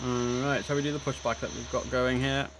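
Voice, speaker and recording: A man's voice: mid-range voice, little pitch movement, fairly quick, noisy background. A man commentating casually on a simulator game, chatting and musing to himself, with clipped radio calls. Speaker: streamer playing an air traffic control simulator.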